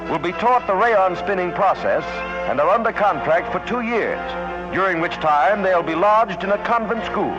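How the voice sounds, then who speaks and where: A man narrating a 1940s newsreel in a brisk, emphatic announcer style, over an old muffled recording. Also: sits high.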